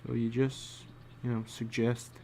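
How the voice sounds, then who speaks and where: A man gives a casual, think-aloud drawing tutorial. His speech is slow and murmured, as if half to himself, with a creaky fry in the voice. There is some noise behind him. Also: mid-range voice, level tone, dark tone. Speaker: storyboard artist giving a drawing tutorial.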